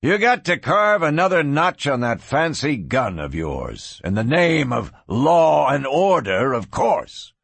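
A man's voice: medium pitch, sing-song, normal speed, fairly bright tone, slightly rough, no room sound, full character voice. A man voicing an arrogant, domineering cattle baron in a Western storybook, explosive and sneering. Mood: accusing. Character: arrogant, hot-tempered Western cattle baron, bullying older brother. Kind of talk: dramatised audiobook reading.

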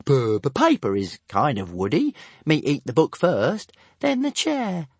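Man playing a small sly dragon, high stutter, whiny and evasive. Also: wildly swooping pitch, a little slow, creaky, husky, no echo, full character voice. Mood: sniffy. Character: small stammering sly young male dragon.

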